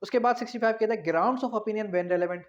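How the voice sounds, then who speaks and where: A man giving an explanatory law lecture, repeating key phrases slowly and asking rhetorical questions in a didactic way. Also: sits high. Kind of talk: explanatory law lecture, mixing in English terms. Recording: dull, muffled lo-fi recording.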